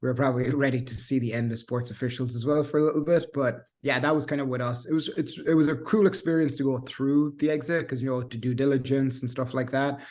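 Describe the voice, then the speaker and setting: A man's voice: medium pitch, steady tone, fast, few pauses, a little mellow. A man in his thirties with a Cork Irish accent, a guest in a casual interview who rambles with restarts and fillers. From Ireland. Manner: rambling, many restarts and fillers, self-deprecating laughs. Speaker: guest, software engineer.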